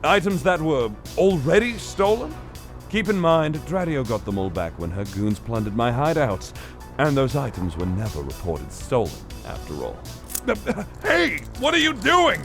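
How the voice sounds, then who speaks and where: A man's voice: a little high, wildly swooping pitch, moderate pace, a bit bright, exaggerated voice. A man playing a suave, theatrical master thief in an audio drama, smug and easily flustered. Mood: panicked. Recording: music behind the voice.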